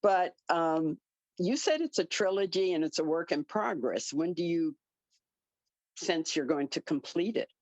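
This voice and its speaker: A woman with a deep voice asks a question in a conversational way. She speaks slowly, and the sound is dry and close. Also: animated, darkish tone, dry recording, low-quality recording. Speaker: actor asking a question. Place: online video call.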